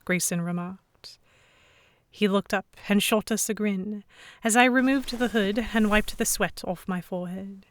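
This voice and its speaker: A woman narrating a scripted first-person audio drama in an expressive, dramatic storytelling manner. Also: medium pitch, a little slow, no room sound.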